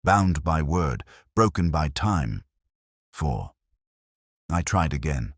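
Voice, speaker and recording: A man playing an ageing, wry, brooding detective inspector who narrates a scripted mystery in measured, literary phrases. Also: a bass voice, very animated, no room sound, studio quality, heavily acted. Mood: brooding, reflective.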